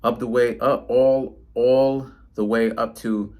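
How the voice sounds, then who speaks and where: A man's voice: medium pitch, flat delivery, slow pace, darkish tone. A man, talking casually to the camera in a rambling way, often tagging his lines with "right?". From Trinidad and Tobago, raised in New York City. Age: 30s. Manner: rambling, often tags lines with "right?" and "you know what I'm saying". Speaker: tarot reader and vlogger.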